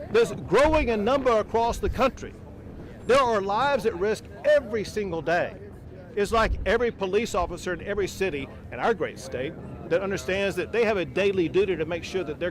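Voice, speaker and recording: A man speaking as a governor at a press conference, emphatic and forceful, outdoors by a river. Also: high voice. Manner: forceful, emphatic political speech. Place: outdoors by a river border crossing.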